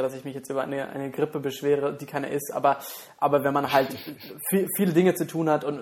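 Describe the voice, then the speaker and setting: A man's voice: mid-range voice, a little mellow. Stuffy-nosed young man, rambling chat. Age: young adult. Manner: rambling, self-conscious, many fillers. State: stuffy nose from a cold. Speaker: podcast co-host. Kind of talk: casual chat.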